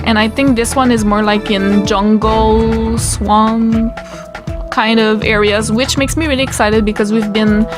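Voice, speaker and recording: A chatty young woman with a Quebec French accent talks through a game in pre-recorded commentary, with a slightly high voice. Game music plays clearly behind her. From Quebec, Canada. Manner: chatty, upbeat, excited. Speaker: gaming YouTuber.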